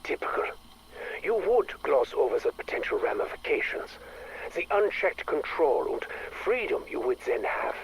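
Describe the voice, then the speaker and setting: A man playing a bitter, domineering scientist in a video game, with a husky rasp and sardonic, theatrical contempt. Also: on the high side, very animated, moderate pace, slight background noise, poor audio. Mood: dismissive. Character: bitter, scornful male scientist, domineering and cold. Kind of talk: dramatic video game acting.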